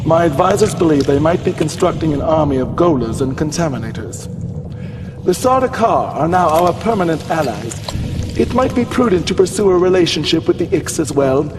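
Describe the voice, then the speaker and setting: An actor playing a scheming, smug aristocratic villain with macabre tastes in a game cutscene. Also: male voice, on the high side, expressive, fairly quick, over music, lightly acted. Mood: smug, sly. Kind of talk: video game cutscene acting.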